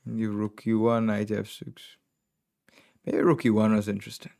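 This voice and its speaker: Chess master with a Trinidadian accent, a man with a lowish voice, thinking aloud through moves in short bursts. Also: completely flat delivery, moderate pace, vocal fry, darkish tone. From Trinidad and Tobago. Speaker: chess master streaming game reviews. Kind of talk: live commentary on chess games.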